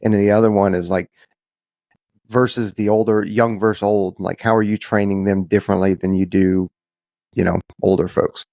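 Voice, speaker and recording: A man's voice: lowish voice, little pitch movement, moderate pace, darkish tone, no room sound, studio quality. A man co-hosting a podcast, asking a long question in a hesitant, rambling way. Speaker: podcast co-host. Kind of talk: casual podcast interview.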